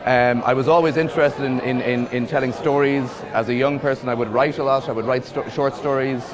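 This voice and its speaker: An Irish man gives a reflective festival interview in an unhurried voice with a middle pitch. He restarts phrases and repeats words as he thinks. A loud crowd murmurs behind him. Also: steady tone. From Ireland. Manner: thoughtful, repeats words while searching for phrasing. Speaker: film director. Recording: busy crowd noise behind.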